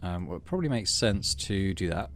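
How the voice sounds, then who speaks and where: Low-voiced man with lively intonation. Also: fairly quick, a little hiss or hum. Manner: conversational, musing. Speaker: gaming video host. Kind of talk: casual playthrough commentary and narration.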